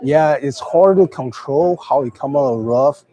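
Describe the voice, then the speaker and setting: A hoarse man with a raspy voice, hosting a casual live golf chat with easygoing talk full of fillers and restarts. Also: mid-range voice, unhurried, dark tone, low-quality recording. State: hoarse from days of talking. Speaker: golf club host.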